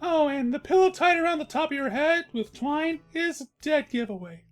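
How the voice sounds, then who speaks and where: A woman's voice: sits high, lively intonation, normal speed, soft background music. A woman playing a small, cheeky young male dragon with a dry, teasing manner in a cartoon story reading.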